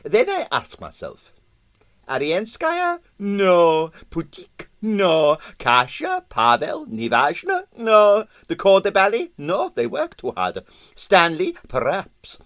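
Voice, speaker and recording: A man voicing an excitable, boastful Russian ballet impresario, theatrical in broken English with bits of French. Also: high voice, slow, dry recording, pitched above the performer's own voice, full character voice. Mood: dramatic, scheming.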